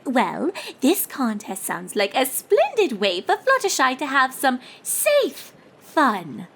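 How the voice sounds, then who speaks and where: A woman's voice: sits high, wildly swooping pitch, takes it slowly, fairly bright tone, voice pushed up, exaggerated voice. A woman voicing a refined, dramatic female cartoon unicorn pony in a genteel, teasing, theatrical manner. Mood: protective, teasing. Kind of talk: character voice in fan fiction reading.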